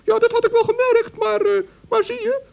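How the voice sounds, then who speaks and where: A little forest gnome, very high squeaky voice, nervous stammer, dull vintage radio play sound. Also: female voice, level tone, normal speed, creaky, darkish tone, full character voice. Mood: anxious. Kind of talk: 1960s radio play acting.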